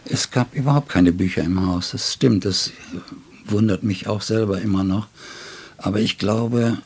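An older man speaks with a very low, rough-edged voice. He is a guest in a literary interview, reflecting slowly and searchingly, pausing to find his words and adding little tag questions. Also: a little mellow. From northern Germany. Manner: thoughtful, halting, tag questions.

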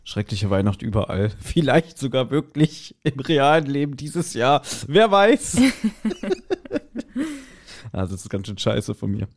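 A man's voice: medium pitch, very animated, a little slow. A man hosting a podcast in casual, jokey chat, with a rambling, self-deprecating manner. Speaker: podcast host.

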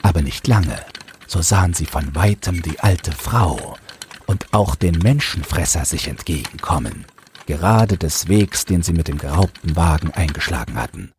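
A man's voice: lively intonation, normal speed, a little hiss or hum. A man narrating a fairy tale from a script, as the storyteller of an audio drama. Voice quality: deep, very husky.

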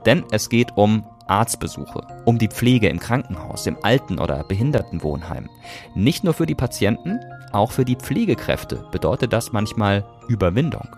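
A man's voice: on the low side, very animated, normal speed, darkish tone, soft background music. A man hosting a feature programme, moving between scripted narration and interview questions. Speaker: podcast host.

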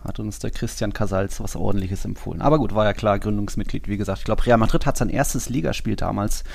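A man hosts a football podcast in a conversational way. His voice sits a little low and has a clear creaky, gravelly edge. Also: fast talker, a little mellow.

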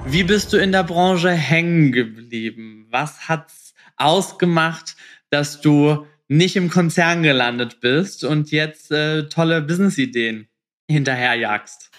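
A man hosts a podcast interview in a conversational, informal way. His voice sits slightly above the usual male pitch, and he speaks to listeners as casually as to a guest. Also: takes it slowly, a bit bright. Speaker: podcast host.

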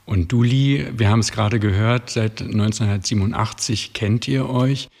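A man with a fairly low voice hosts the podcast, asking polite and measured questions. His voice rings with a long reverb from the room. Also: gravelly, darkish tone, slightly rough. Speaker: podcast host. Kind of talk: interview questions.

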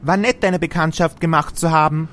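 A man's voice: a little high, fairly even intonation, brisk, a bit bright, natural voice. A man playing a friendly, naive snowman in a fairy-tale radio play, with earnest, formal phrasing.

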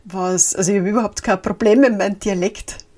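A breathy-voiced woman with an Austrian German accent hosts a solo business podcast. She talks casually and warmly to her listener and slips between careful standard German and her dialect. Her pitch sits in an ordinary middle range. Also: fairly quick. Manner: chatty, switches between formal speech and dialect. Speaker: podcast host and business coach.

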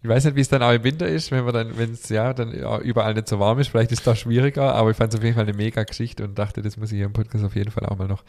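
A man's voice: lowish voice, steady tone, rapid-fire, few pauses, a little mellow. A man hosting a podcast in casual chat, a little stuffy from a cold, rambling with many fillers and restarts. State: has a cold. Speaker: podcast host.

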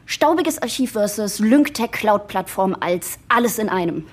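An actress playing an enthusiastic, teasing office colleague in an audio drama, gushing and pointed. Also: female voice, mid-range voice, expressive, unhurried, breathy edge. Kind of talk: German audio drama acting.

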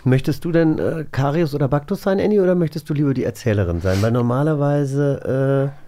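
A man hosts a relaxed chat show. His voice sits at an average pitch for a man, and he talks in a loose, conversational way as he moderates. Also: fairly quick. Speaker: podcast host.

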